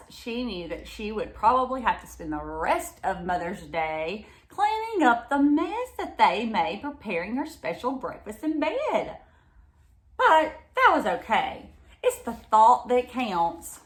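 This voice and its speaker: A woman reads a picture book aloud to young children in an expressive way, pausing to explain and ask them simple questions. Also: mid-range voice, reverberant. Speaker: read-aloud storyteller for children.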